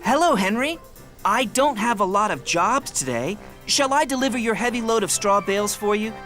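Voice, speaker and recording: An actor plays an eager young male tank engine in a children's cartoon. He is cheeky yet quick to be sorry, speaking with earnest emphasis and an animated melody. Faint music plays behind him. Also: mid-range voice, natural voice. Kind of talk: children's cartoon character acting.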